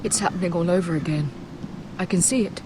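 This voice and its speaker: A woman's voice: fairly low pitch, brisk, noisy background, lightly acted. An actress playing a middle-aged noblewoman and mother in a fantasy game, intense, solemn and steely. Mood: anguished. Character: fierce, embittered noble mother, steely and vengeful. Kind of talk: dramatic video game acting. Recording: music behind the voice.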